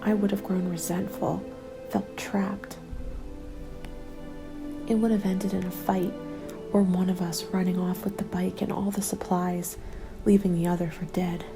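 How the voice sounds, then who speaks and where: A woman narrating a first-person ASMR story in a soft, breathy, mostly whispered voice, with a hushed and deliberate delivery. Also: lowish voice, little pitch movement. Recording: soft music under the voice. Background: instrumental music.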